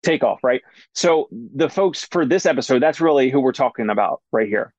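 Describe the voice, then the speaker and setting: A man's voice: mid-range voice, expressive, moderate pace, darkish tone, dry recording. Guy podcast host, chatty and rambly, says like a lot, dull dry mic sound. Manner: rambling, loose phrasing, frequent "like" and restarts. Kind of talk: casual interview chat.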